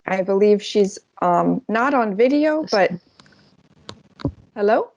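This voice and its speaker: A woman's voice: mid-range voice, animated, takes it slowly, darkish tone, slight background noise. A woman hosting an online class on a video call, welcoming each participant in turn. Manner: friendly, welcomes each participant with light laughs. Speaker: host.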